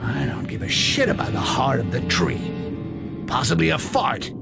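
An actor playing a cynical, foul-mouthed goblin rogue in a video game, with a gravelly, sardonic mutter. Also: male voice, medium pitch, big pitch swings, moderate pace, over loud music. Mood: sardonic defiance. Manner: muttering to himself, sardonic, swearing. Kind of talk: dramatic video game acting.

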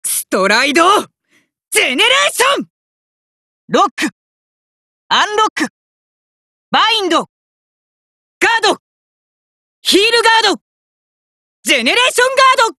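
An actor voicing a cocky, hot-blooded young male fighter in an anime card game, rough-talking and arrogant, with emphatic battle cries. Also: very high voice, sing-song, moderate pace, pauses often, bright, forceful tone, dry recording, clean studio sound, full character voice. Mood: commanding, intense.

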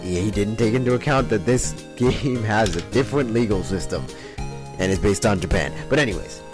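A young man with a hoarse voice, giving casual commentary on a game and rambling through laughing asides. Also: lowish voice, fairly quick, music in the background. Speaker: let's play host.

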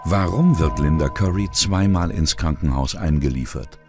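A man's voice: rumbling low, moderate pace, over music. A man narrating a scripted true-crime documentary in a dramatic, suspenseful way.

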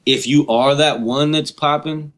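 A man's voice: on the high side, steady tone, unhurried, fairly bright tone. A man hosting a casual interview, talking in an informal, slangy, encouraging way. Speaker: podcast host.

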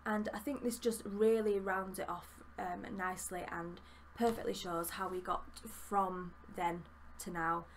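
A woman's voice: mid-range voice, fairly even intonation, measured pace, hardly any pauses, a little hiss or hum. A young woman with a Yorkshire accent, chatting enthusiastically while reviewing a book at her desk, with plenty of fillers. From Yorkshire, England. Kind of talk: casual book review vlog. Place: small room with bookshelves, indoors.

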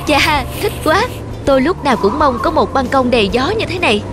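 A woman's voice: high voice, expressive, a little fast. A woman playing a weary, put-upon young housewife and mother in a cartoon. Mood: wistful. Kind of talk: Vietnamese cartoon voice acting. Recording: music under the voice.

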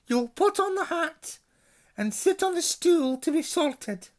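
A man voicing a strict older woman professor in a high, clipped voice. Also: animated, slow pace, exaggerated voice. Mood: brisk, stern. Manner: crisp, clipped, raised falsetto calling.

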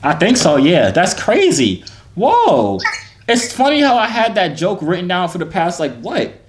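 A man's voice: sits high, huge pitch swings, measured pace. Teasing young man on a phone video chat.

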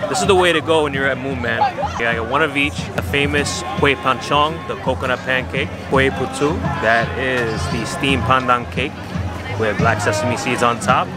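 A man's voice: medium pitch, moderate pace. A man in his thirties hosting a casual food vlog, talking to the camera in short, enthusiastic, appraising remarks. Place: outdoor night market. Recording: loud crowd and music behind. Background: busy crowd, music.

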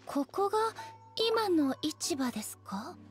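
A high, formal woman's voice for a dignified goddess ruler in an anime game. She is composed, aloof and literal-minded, choosing polite, measured words. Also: very animated, soft background music, exaggerated voice. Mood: puzzled, questioning.

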